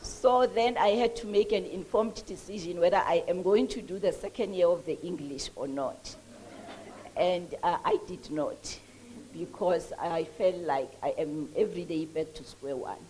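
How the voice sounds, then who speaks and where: Woman with a Swati accent, low voice. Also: moderate pace, warm tone, a little hiss or hum, low-quality recording. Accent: Swati, Mpumalanga. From South Africa. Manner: self-deprecating, chatty, many restarts. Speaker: author giving a launch speech. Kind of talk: speech at a book launch.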